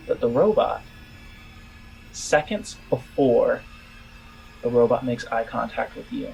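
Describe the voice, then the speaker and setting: Man, game master, deliberate halting storytelling, mid-range voice, faint music behind. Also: medium pitch, darkish tone, low-quality recording. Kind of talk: narrating a tabletop role-playing game.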